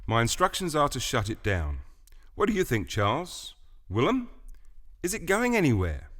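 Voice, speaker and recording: A man's voice: mid-range voice, wildly swooping pitch, moderate pace, a bit bright. An actor playing a stern alien president in a radio play, speaking with oratorical authority as he passes judgement. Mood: stern, imposing. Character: commanding alien male president, stern creator passing judgement.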